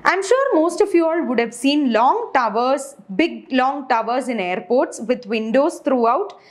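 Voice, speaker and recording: A woman's voice: high voice, lively intonation, measured pace. A woman in her twenties with an Indian accent giving an instructional lecture, explaining step by step as a teacher. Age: 20s. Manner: explanatory, rhetorical questions to structure points. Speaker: aviation lecturer.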